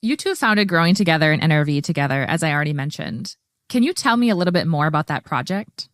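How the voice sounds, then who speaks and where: A woman hosting a podcast, reading a measured introduction and asking her guests questions. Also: low-pitched, lively intonation, no echo, studio quality. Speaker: podcast host.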